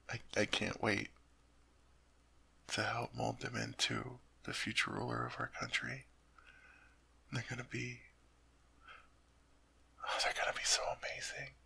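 A man playing a doting young king and husband who stammers and repeats himself when flustered and drops into soft, tender whispers. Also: medium pitch, gravelly, slightly rough, heavily acted. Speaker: ASMR roleplay performer. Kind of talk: ASMR audio roleplay.

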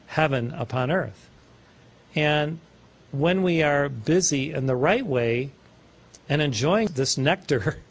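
A man in his sixties, a spiritual teacher, giving an informal, playful sermon full of wordplay and asides, recorded in a small studio on a lapel mic. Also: fairly high pitch. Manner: warm, playful, digressive, chuckling at his own wordplay. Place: small studio before a backdrop.

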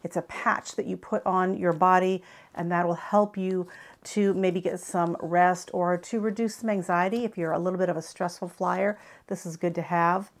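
Casting: American woman, 50s, slightly low voice, chatty explanatory vlogger doing informal product reviews. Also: fairly even intonation, normal speed. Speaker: travel gear vlogger. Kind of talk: casual product review to camera. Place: small furnished living room, indoors.

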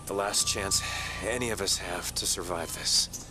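Low slow male voice, shaken survivor, halting, fearful, game drama, loud music. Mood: grave, uneasy. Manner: halting, sighing, haunted. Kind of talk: dramatic video game monologue.

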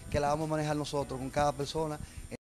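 Earnest young trainer, higher pitched. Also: male voice, level tone, racing, soft background music. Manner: earnest, explanatory, with frequent hesitations. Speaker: gym trainer. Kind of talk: studio TV interview. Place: TV studio set. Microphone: handheld mic.

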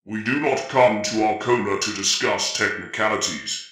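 A man voicing a huge, grim space marine sergeant with an impossibly deep, rumbling voice and a blunt, commanding delivery. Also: normal speed, fairly bright tone, reverberant, close to an everyday voice.

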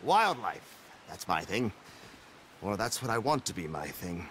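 A genteel, nervous male photographer from a western game. His voice is bright and swings widely in pitch. He sounds fussy and self-deprecating, with faint outdoor sound around him. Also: sing-song, measured pace, a little hiss or hum. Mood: chatty, wry. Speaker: wildlife photographer character. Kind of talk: dramatic game cutscene acting.

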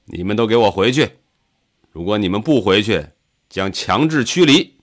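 A man's voice: on the low side, expressive, moderate pace. A man voicing a stern, impatient government official in an audiobook, threatening and commanding. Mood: stern anger. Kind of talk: audiobook character voice.

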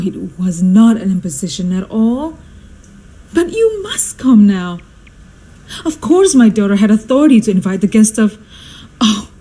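A woman's voice: medium pitch, mellow, slightly rough, rough recording. A woman acting a flustered society hostess in a radio play, gushing and insistent with formal politeness. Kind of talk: amateur radio drama acting.

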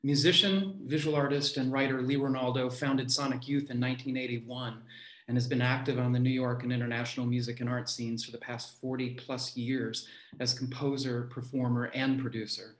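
A man's voice: mid-range voice, little pitch movement, moderate pace, a bit bright. A middle-aged man hosting an online event, introducing a reader over a video call in a roomy space. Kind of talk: event introduction, part read and part informal. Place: room at home, indoors.

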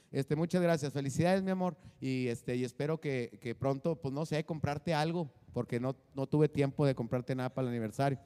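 Rowdy comedian in his forties, high-pitched, handheld mic in a reverberant studio. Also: a little mellow. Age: 40s. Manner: rowdy, crude joking, many fillers. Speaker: comedian and show host. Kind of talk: casual comedic monologue. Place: small studio set with drum kit behind a desk.

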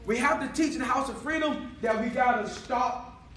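A man preaching a sermon in a church hall, calling for responses and rising to shouts, recorded by a far-off camera. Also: very high-pitched, moderate pace, slight background noise. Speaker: pastor.

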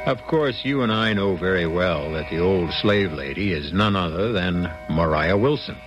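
A man narrates a radio mystery as its host. His lowish voice moves expressively, confiding and dramatic, speaking straight to the listener. Music plays clearly behind him. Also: animated, normal speed, lo-fi sound.

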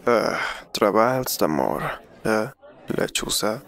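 A gruff big tomcat acted by a man with a Latin American accent, low and gravelly. He is deadpan and sardonic, a cartoon dub character. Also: drawn-out words, heavily acted. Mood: dry sarcasm.

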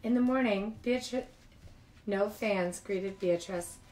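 A woman reading picture books aloud to young children like a childcare teacher, expressive and informal. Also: mid-range voice, takes it slowly, rough recording.

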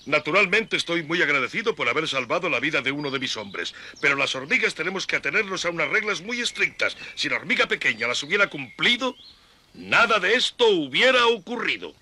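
Pompous, high-pitched ant colonel. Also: male voice, big pitch swings, fairly quick, bright, forceful tone, slight background noise, exaggerated voice. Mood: stern, self-important. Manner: pompous, formal, commanding. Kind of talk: dubbed children's cartoon acting. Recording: music behind the voice.

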